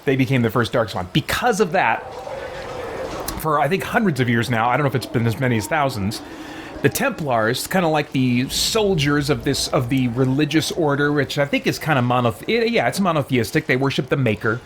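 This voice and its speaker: A man's voice: mid-range voice, lively intonation, a little fast, a bit bright, a little hiss or hum. A man giving an informal, rambling explanation to a live stream chat, digressing as he goes. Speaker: game livestreamer.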